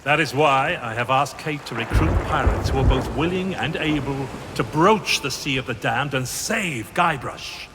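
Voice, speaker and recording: A man playing a solemn, mysterious pirate guide who tells a tale gravely in a video game cutscene. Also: mid-range voice, very noisy, lightly acted. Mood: grave, foreboding. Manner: measured, grave, portentous. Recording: storm and sea ambience behind.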